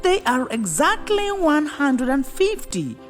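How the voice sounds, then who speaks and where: Woman as an eager, high-pitched baby hedgehog. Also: takes it slowly, exaggerated voice. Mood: excited, puzzled. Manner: earnest, excitable, childlike. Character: eager, innocent, polite baby hedgehog pupil. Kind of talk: children's cartoon storybook acting. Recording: music under the voice.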